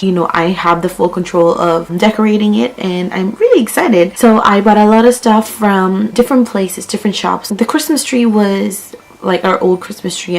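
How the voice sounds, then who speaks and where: A woman's voice: on the low side, poor audio. A young woman from the Philippines chatting casually in a home vlog, her voice worn as she is losing it, full of "like" and "um". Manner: chatty, with fillers like "like" and "um". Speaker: vlogger. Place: living room at home.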